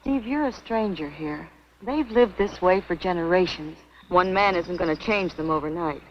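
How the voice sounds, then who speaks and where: A woman's voice: fairly low pitch, moderate pace, lightly acted. A woman plays an earnest, tender sweetheart full of worry, in a mid-range voice. It is sincere 1950s film acting with a dull sound and faint noise. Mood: worried, pleading. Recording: muffled old film, faint background noise.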